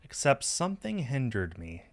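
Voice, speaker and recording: A man reading a visual novel aloud as expressive first-person narration on a stream. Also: mid-range voice, moderate pace.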